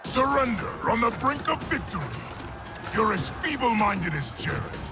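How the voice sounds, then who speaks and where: A man's voice: extremely high pitch, sing-song, normal speed, loud music behind the voice. A man playing an arrogant tyrant emperor in a fighting game, with a bombastic, domineering delivery. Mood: scornful. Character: arrogant, booming male tyrant warlord emperor. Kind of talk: cinematic fighting game acting.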